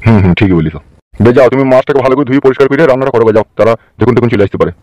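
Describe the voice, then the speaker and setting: A man's voice: mid-range voice, very fast, darkish tone, dry recording, natural voice, rough recording. A man voicing a genial, fussy middle-aged village father in a comedy cartoon, chatty and insistent.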